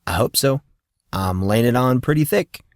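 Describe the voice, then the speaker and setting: A man's voice: mid-range voice, lively intonation, moderate pace, dry recording, natural voice. A man voicing a scarred teenage boy who speaks in a dry, sarcastic way.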